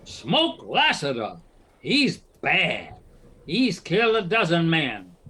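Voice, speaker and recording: Excitable, high-pitched western schemer. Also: male voice, takes it slowly, breathy edge, heavily acted. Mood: urgent persuasion. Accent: American Western. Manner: agitated, emphatic frontier drawl. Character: nervous, scheming western townsman, urgent and wheedling.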